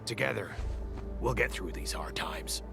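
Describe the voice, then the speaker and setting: A gravelly, earnest outpost leader. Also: male voice, sits low, lively intonation, full character voice. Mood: resolute. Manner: sincere, reassuring, measured. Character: earnest, protective male outpost leader rallying his people. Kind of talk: dramatic video game cutscene acting. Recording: music behind the voice.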